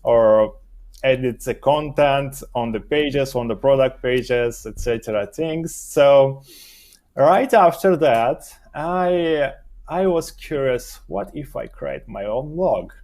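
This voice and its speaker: A young adult man whose first language is Romanian, answering interview questions in a halting way while he searches for words. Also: medium pitch, a little mellow. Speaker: guest, SEO expert.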